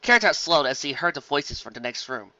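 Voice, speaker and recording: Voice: mid-range voice, lively intonation, fairly quick, bright, forceful tone, dry recording, dull audio. A reader narrating a fan story aloud, with a plain delivery and occasional slips.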